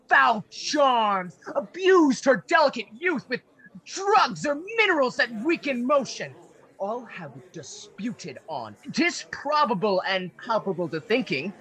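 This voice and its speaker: Outraged, grieving nobleman father played by a woman, declamatory Shakespearean delivery, lively and husky, video-call recording. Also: on the high side, full character voice, low-quality recording. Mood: furious. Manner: declamatory, theatrical. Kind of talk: amateur Shakespeare radio play acting.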